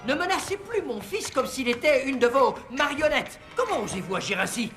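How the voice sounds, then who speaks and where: Flustered cartoon parent, formal, protective, swooping high intonation, light music behind. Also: female voice, animated, brisk, a bit bright. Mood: indignant. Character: indignant, protective parent in a puppet-theatre cartoon. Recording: music underneath.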